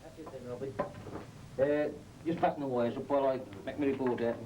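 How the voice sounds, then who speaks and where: A man's voice: mid-range voice, steady tone, a little fast, mellow, natural voice. A man playing a busy, grumbling shot firer in a coal mine training film, chatting in an offhand, matey working way. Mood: brisk, grumbling. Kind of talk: acted training film dialogue. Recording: noisy old training film soundtrack.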